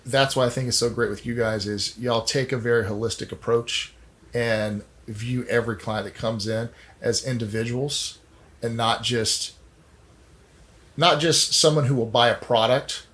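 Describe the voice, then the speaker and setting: A man's voice: medium pitch, steady tone, moderate pace, fairly bright tone. Folksy male podcast host. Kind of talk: casual interview chat.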